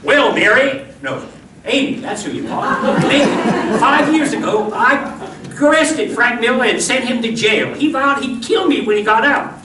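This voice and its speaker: A man playing an earnest, jittery town marshal in a comic old-time radio Western, hammy and halting as he tells his tale. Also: very high voice. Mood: alarmed. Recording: distant, echoey, noisy live stage recording.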